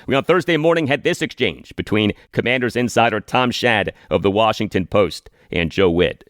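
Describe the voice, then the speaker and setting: A man hosting a sports podcast, speaking emphatically in commentary and reads, deliberately repeating his phrases. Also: on the low side, fairly bright tone, dry recording, clean studio sound.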